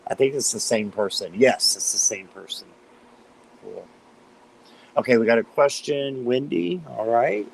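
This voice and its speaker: A man with a slight cold and a hoarse voice, chatting casually as he hosts a live tarot stream and greets viewers by name. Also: medium pitch, measured pace, slight background noise, low-quality recording.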